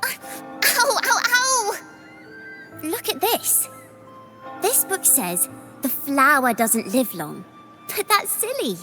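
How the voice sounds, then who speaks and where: A bubbly little girl from a video game. Her high voice swoops with curiosity and earnest wonder, and soft music plays underneath. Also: very animated, takes it slowly, pauses often, full character voice. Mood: pained. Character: curious, eager little girl dreaming of being a bard.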